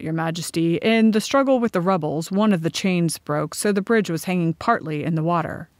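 A woman's voice: lowish voice, no echo, studio quality, close to an everyday voice. A woman voicing a man who reports to a king in a storybook, formal and grave.

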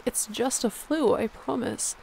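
A woman's voice: a little high, a little slow, gravelly, a little mellow, slight background noise, low-quality recording. A woman playing a sick, protective young apocalypse survivor in an audio roleplay, earnest and pleading. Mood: defensive, weary.

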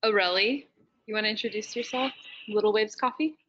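A woman's voice: medium pitch, fairly quick, a bit bright, muffled recording. A woman co-hosting a video panel, speaking casually with frequent fillers. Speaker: panel co-host.

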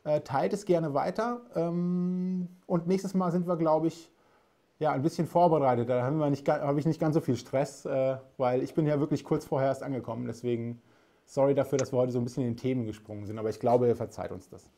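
A man's voice: mid-range voice, fairly quick, mellow. A man in his thirties hosting a casual live stream from a studio, chatty and talking straight to the viewers. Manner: friendly, chatty, addresses viewers directly. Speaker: host. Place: studio with dark backdrop.

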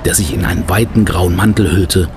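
A man's voice: low voice, a little fast, background noise, lightly acted. A man acting as the storyteller of a detective adventure radio play, reading scripted narration. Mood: narrating.

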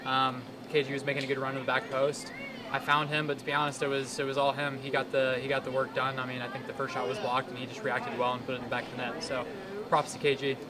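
A man's voice: mid-range voice, little pitch movement, quick pace, a crowd in the background. A man in his twenties, a soccer player, giving a casual, hesitant postgame interview. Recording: loud background noise.

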